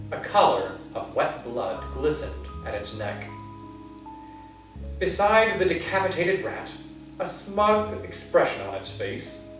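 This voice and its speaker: Slow, expressive male storyteller in an echoey room. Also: on the high side. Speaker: library storyteller. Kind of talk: storybook read-aloud. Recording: echoey room, far from mic, soft guitar.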